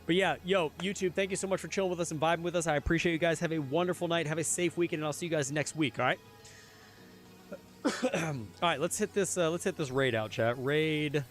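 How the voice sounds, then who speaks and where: A young man streaming a game, chatting casually with his viewers, his voice a little hoarse. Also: on the high side, a little fast, faint music behind. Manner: chatty, answers chat, repeats phrases. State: slightly hoarse. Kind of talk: casual live-stream chat while gaming.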